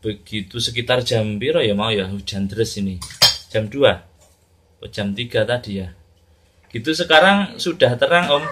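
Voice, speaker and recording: A man in his thirties with a Javanese-region Indonesian accent hosts a casual ham radio chat. His voice sits at a medium pitch. The recording is made in a small home room and is clearly noisy. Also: moderate pace. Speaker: amateur radio operator and channel host. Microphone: camera at arm's length, handheld radio microphone in hand.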